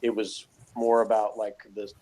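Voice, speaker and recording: A man's voice: fairly low pitch, monotone, normal speed, warm tone. A man talking through a TV review in a casual, analytical way, hesitant and rambling as he works out his points. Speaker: co-host reviewing a TV series.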